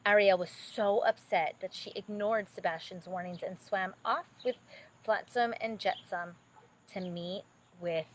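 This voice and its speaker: A woman narrating a children's bedtime story expressively, with dramatic, drawn-out emphasis. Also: medium pitch, a little hiss or hum.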